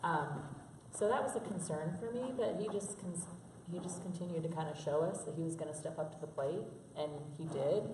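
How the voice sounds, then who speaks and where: A woman, hoarse from allergies, talking frankly about parenting. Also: low voice, a little fast, hardly any pauses, noisy background. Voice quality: gravelly. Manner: frank, chatty, laughs often. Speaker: interview guest, mother. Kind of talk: candid conversational interview. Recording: echoey room from a distance.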